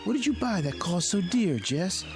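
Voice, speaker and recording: An actor playing an ardent, lovesick young country suitor with a Southern US accent, pressing and jealous, in a radio drama. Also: male voice, sits high, sing-song, moderate pace, over music. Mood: pressing, hurt. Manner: impassioned, pressing.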